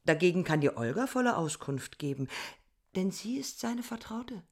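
A woman's voice: lowish voice, big pitch swings, moderate pace, a little mellow. A woman playing a proud, aloof young villager in a radio play, curt and grave, sometimes dropping to a whisper. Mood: cold, proud. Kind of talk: literary radio play dialogue.

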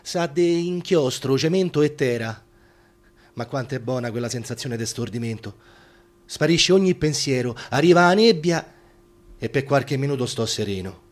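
A man's voice: mid-range voice, lively intonation, moderate pace, lightly acted. A man acting a world-weary wartime prisoner with a Roman accent, reflective and bitterly wry, in a radio drama monologue. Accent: Roman Italian. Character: world-weary male prisoner of war scraping by on potatoes. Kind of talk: theatrical radio drama monologue with sung snatches.

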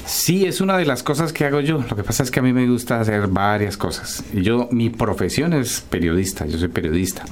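A middle-aged Colombian man answering as a guest in a radio interview, halting and correcting himself as he talks. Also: mid-range voice, animated, slight background noise. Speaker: guest, writer and journalist.

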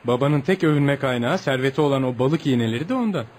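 An actor playing a curious, wry visiting writer who asks questions in a radio play. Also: male voice, mid-range voice, fast talker, a little mellow, natural voice. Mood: curious, amused. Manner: wry, inquisitive. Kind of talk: Turkish radio theatre acting. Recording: noisy old radio drama recording.

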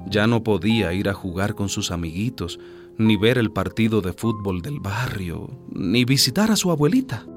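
A man's voice: fairly low pitch, animated, normal speed, over music. A man narrating a radio drama as a scripted story, with a grave, dramatic delivery.

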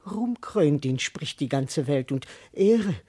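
Boastful cowardly servant, theatrical, declamatory, self-important, classical verse comedy, radio play, muttering and proclaiming. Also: male voice, a little high, lively intonation, takes it slowly, warm tone, husky, heavily acted. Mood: indignant bluster.

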